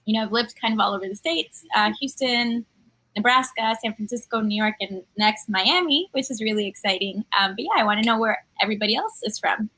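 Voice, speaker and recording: A Colombian-born woman leads a casual panel conversation, tossing out quick, upbeat affirmations between her questions. Her voice sits a little high and rings bright and forward. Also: low-quality recording. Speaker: host.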